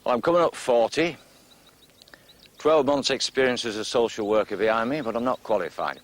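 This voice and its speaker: An actor playing a reflective social worker near forty, speaking quietly and earnestly outdoors. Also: male voice, mid-range voice, brisk, close to an everyday voice. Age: around 40. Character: troubled man near forty seeking advice. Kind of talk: TV drama dialogue.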